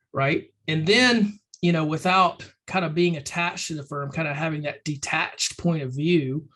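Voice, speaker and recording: Casting note: man with a raised pitch for his sex, slightly hoarse, chatty and rambling webinar presenter. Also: fairly bright tone. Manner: loose and conversational, many ums and restarts. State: slightly hoarse, clearing throat. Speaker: webinar presenter for a firm advisory program. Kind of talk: casual webinar talk.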